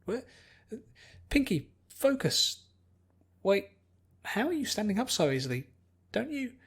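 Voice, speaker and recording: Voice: medium pitch, big pitch swings, a little slow, slightly rough, voice pushed up, heavily acted. A narrator voicing an anxious, bookish young woman who speaks carefully and earnestly in an acted audiobook. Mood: baffled. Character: anxious, bookish pony princess turned human young woman.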